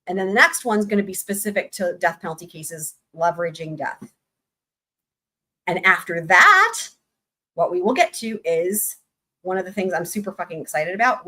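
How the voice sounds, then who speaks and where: A woman hosting a casual livestream, excited and rambling, her voice going hoarse. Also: medium pitch. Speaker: livestream host.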